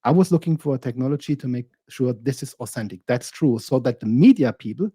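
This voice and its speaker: Guy co-hosting a podcast, dark husky voice, pushes his point, dry. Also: medium pitch, animated, a little fast, no room sound. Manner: argues a point, acts out quoted remarks. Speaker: podcast co-host. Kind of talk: casual discussion.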